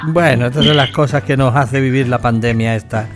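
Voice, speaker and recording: A man hosts a local radio magazine show, talking in a jokey, easygoing way and laughing often. Music plays clearly behind his voice. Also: medium pitch, fairly even intonation, fairly quick. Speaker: radio host. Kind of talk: casual radio chat.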